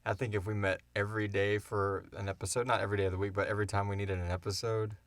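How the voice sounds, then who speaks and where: A man's voice: on the low side, level tone, rapid-fire, no echo. A man hosting a casual unscripted podcast Q and A, talking informally and swearing freely. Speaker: podcast host and producer.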